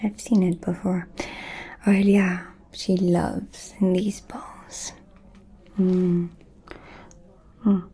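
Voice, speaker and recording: Woman acting as an ancient vampire lady, asmr roleplay, breathy mellow whisper, super slow n seductive, kinda menacing. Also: lowish voice, level tone, full character voice. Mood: amused, conspiratorial. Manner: slow, deliberate, pausing, intimate.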